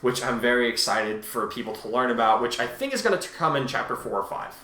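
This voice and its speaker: A man with a mid-pitched voice talks in a very echoey room. He co-hosts a casual discussion of his own writing, often halting and rewording as he searches for the right phrase. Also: sing-song, pushed and bright. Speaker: fan fiction writer and co-host.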